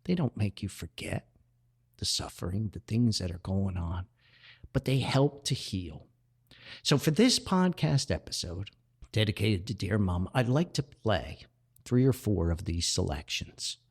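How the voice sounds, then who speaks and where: Husky, expressive man hosting a podcast. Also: lowish voice, a little slow. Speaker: podcast host. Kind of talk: reflective personal podcast talk.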